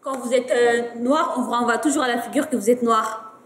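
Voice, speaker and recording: A young woman plays a self-assured manager who speaks firmly and calmly. Her slightly high voice carries with a long reverb through a large hall. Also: fairly quick, lightly acted. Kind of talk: amateur stage acting. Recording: reverberant hall, distant mic.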